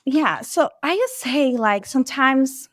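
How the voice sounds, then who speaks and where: A woman's voice: high voice, unhurried, no room sound, clean studio sound. Brazilian-accented woman, chatty guest. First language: Portuguese. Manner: chatty, animated, many filler likes. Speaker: guest, medical sales rep. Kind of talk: casual interview talk.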